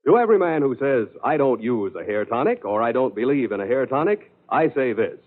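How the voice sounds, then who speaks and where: A man's voice: mid-range voice, big pitch swings, fairly quick. An American man announcing and selling on 1940s radio in an emphatic, polished salesman's style. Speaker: radio announcer and sponsor pitchman. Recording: old narrow radio broadcast with music.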